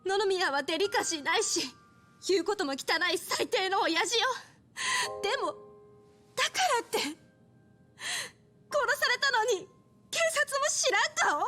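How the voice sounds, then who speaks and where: A woman's voice: very high-pitched, lively intonation, fairly quick, pauses often, sharp tone, soft background music, full character voice. An actress playing a grieving, headstrong young woman in a Japanese video game drama, bitter yet vulnerable. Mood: anguished, tearful.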